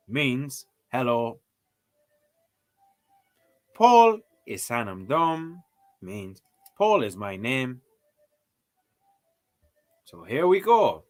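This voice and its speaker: A man casually commenting on a livestream as he works through a language lesson, joking and throwing in mock exclamations. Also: medium pitch. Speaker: streamer learning a language. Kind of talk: casual live-stream commentary.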